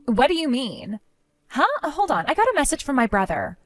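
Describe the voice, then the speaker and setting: Woman doing a sneering, giggly future sister-in-law for an audio drama; bright, bouncy, dry close sound. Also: sits high, sing-song, a little fast, no room sound, soft background music. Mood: pleading. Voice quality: giggly, taunting. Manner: sing-song, dismissive, turns pleading.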